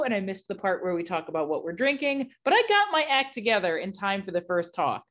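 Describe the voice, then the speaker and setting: Upbeat woman hosting a webinar. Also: fairly high pitch. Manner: upbeat, chatty, candid. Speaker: webinar host. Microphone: video call.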